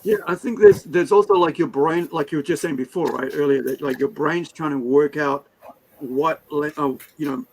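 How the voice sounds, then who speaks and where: A man's voice: fairly high pitch, moderate pace, a little mellow, low-quality recording. A man whose first language is Hindi, hosting a casual interview, talking in a rambling way full of fillers and restarts. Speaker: podcast host.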